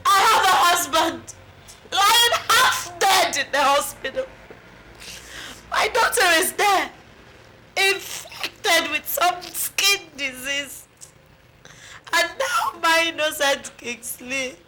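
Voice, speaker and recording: A high-pitched, wailing Nigerian bereaved mother. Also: expressive, bright, forceful tone, echoey room, far from the mic, heavily acted. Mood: anguished wailing. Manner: wailing, pleading rhetorical questions, drawn-out cries. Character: anguished bereaved mother, wronged and defiant wife. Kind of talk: melodramatic film acting. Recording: noisy film location sound with clicks.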